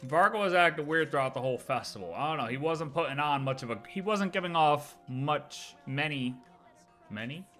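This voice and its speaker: A man's voice: fairly high pitch, animated, brisk, bright, forceful tone, faint music behind. A man chatting casually as a livestreamer, slangy and rambling, repeating phrases for emphasis as he speculates. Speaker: livestreamer reacting to game lore. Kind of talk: casual livestream chat, speculating about game story.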